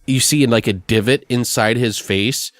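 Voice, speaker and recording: A man's voice: mid-range voice, normal speed, no echo. A man co-hosting an improvised comedy podcast, telling a story casually with plenty of swearing and acting out bits. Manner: profane, jokey, acts out characters' lines mid-sentence.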